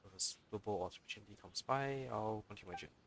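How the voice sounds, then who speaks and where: A man's voice: lowish voice, quick pace, noisy background. A man livestreaming a video game, commenting and reading the dialogue aloud as he plays.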